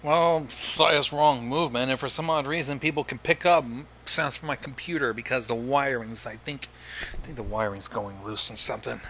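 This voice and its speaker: A man co-hosting a livestream with casual commentary in a halting, self-correcting way. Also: medium pitch, a bit bright. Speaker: livestream co-host. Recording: muffled, noisy computer mic.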